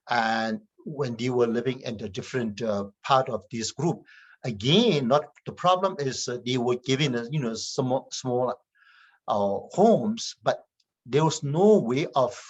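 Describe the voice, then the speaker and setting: Man on a panel, halting, non native english, raspy, muffled audio. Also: medium pitch. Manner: halting, many fillers, non-native phrasing. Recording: dull lo-fi audio.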